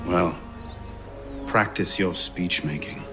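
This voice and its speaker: An actor playing a young, determined adventurer in a video game, speaking in a wry, clipped manner. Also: male voice, sits low, slow pace. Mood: wry, intent. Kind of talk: video game cinematic acting. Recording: background music under the voice.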